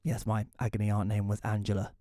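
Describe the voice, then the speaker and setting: A man playing a bitter, reclusive widower who narrates an audio play in a wry, deadpan way. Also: lowish voice, fast, no echo, studio quality, natural voice.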